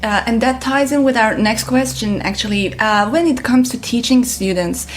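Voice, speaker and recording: A woman's voice: on the high side, moderate pace, slight background noise. A woman co-hosting a teaching podcast, posing interview questions in an upbeat, enthusiastic way. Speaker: podcast co-host.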